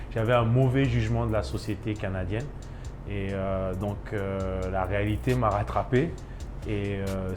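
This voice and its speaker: A man's voice: on the low side, noisy background. A man in his thirties from Ivory Coast, a chef and restaurant owner, speaking in a documentary interview with many hesitations and restarts.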